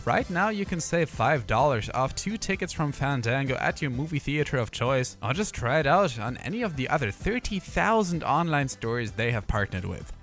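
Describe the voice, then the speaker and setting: A man's voice: medium pitch, a bit bright. A young adult man reading a scripted film review with casual asides, in the manner of a video essayist. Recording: voice-over with music underneath. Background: background music.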